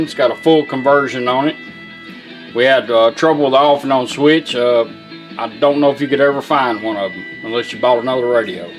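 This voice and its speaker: A man with a Southern US accent giving a casual, plain-spoken, unhurried product demonstration as a radio restorer. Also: mid-range voice.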